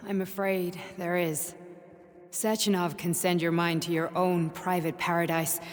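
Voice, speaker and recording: An actress playing a wry, knowing, unsentimental doctor in a video game, speaking in a pointed, matter-of-fact way. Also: female voice, on the low side, little pitch movement, a little slow, natural voice. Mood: grave, pointed. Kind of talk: scripted video game acting, conversational. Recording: echoing reverberant space.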